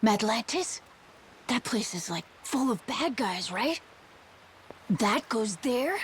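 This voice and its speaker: Eager high-voiced young boy. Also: sing-song, slow, background noise. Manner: eager, pleading. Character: eager, excitable young boy, the seaman's son. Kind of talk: English video game dub acting.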